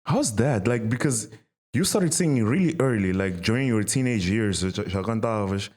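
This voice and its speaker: Deep-voiced young man chatting. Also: lively intonation, normal speed, darkish tone. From Mozambique. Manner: informal and slangy. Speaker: podcast host. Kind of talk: casual chat, switching between languages.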